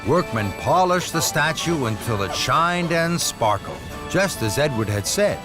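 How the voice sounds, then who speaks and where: Slow, lively male children's storyteller. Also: on the high side, music in the background. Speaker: storyteller narrator. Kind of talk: scripted children's story narration.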